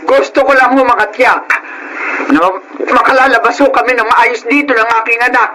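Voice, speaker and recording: A woman's voice: a little high, moderate pace, pushed and bright, rough recording. A woman with a Filipino accent playing a protective, comforting older mother in a radio drama, firm yet soothing. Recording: clear background noise.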